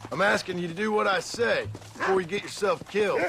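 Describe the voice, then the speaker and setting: An actor playing a stern, gruff rancher and reformed outlaw in a western video game, with an American Western drawl and a scolding, sardonic manner. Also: male voice, high-pitched, fairly quick, a bit bright. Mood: scolding, protective. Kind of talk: video game dramatic acting. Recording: outdoor ambience with horse hooves.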